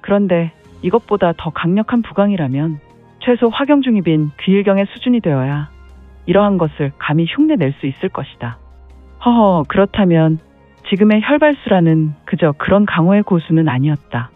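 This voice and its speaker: A man with a fairly high voice narrates a martial-arts serial in a dramatic storyteller's manner, sprinkling in "heh heh" interjections. The audio is thin and phone-like and very dry. Faint music plays underneath. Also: lively intonation, normal speed.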